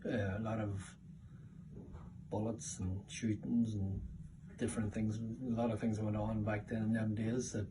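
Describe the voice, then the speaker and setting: A middle-aged man with a Northern Irish accent reminisces casually in a family interview, speaking haltingly and repeating words. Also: fairly low pitch, flat delivery. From Northern Ireland. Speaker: interviewee, father. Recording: distant mic with background room noise.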